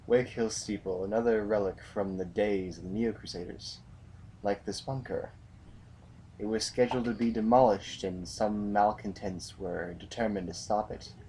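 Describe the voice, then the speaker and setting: Guy playing grave hardened army commander, low voice, brooding and defiant, sci-fi audio drama, noisy background. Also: steady tone, normal speed, mellow, lightly acted. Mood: grim, brooding.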